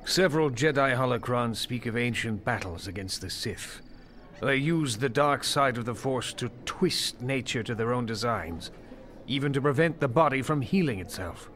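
An actor playing a wise, grave old Jedi master in an audio drama, speaking in a measured, mentoring way. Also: male voice, mid-range voice, expressive, a bit bright, lightly acted. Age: older.

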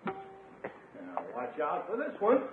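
An actor playing a smug, flirtatious athletic doctor in a 1950s radio sitcom, breezy, self-assured and condescending. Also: male voice, high-pitched, wildly swooping pitch, slow, music in the background, full character voice. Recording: tinny, faintly noisy 1950s radio recording.

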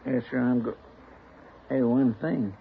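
An actor in a 1950s radio western playing an eager, folksy deputy who drawls in country talk. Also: male voice, mid-range voice, unhurried, vocal fry, slight background noise. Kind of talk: 1950s radio drama acting. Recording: tinny old radio broadcast.

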